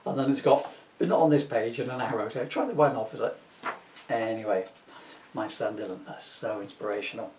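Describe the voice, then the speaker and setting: A middle-aged man reads a children's book in a loose, chatty way, rambling into jokey asides. His voice sits at an ordinary pitch. The recording is roomy and echoing, with the microphone some way off. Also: fairly quick, darkish tone, a little hiss or hum. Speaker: author reading from his own children's book. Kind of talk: informal, chatty video book reading. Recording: roomy echo, distant microphone.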